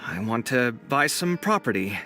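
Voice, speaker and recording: A man voicing a dramatic, confident but easily flustered young man in a video game, animated and exasperated. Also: medium pitch, moderate pace, natural voice. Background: music.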